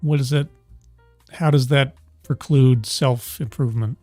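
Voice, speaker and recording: A man's voice: medium pitch, steady tone, unhurried, darkish tone, dry recording, faint music behind. Stammering talk show host man. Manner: stammering, interrupts, argues his point. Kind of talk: casual podcast chat.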